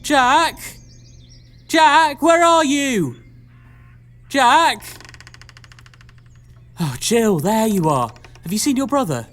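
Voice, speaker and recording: A woman's voice: fairly high pitch, animated, very slow, long pauses. A woman playing a flustered, sarcastic farm parent, wordy and theatrical, in an audio pantomime. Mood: worried.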